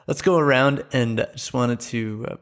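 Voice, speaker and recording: A man hosting a casual podcast chat, talking in a rambling, halting way. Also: mid-range voice. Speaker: podcast host.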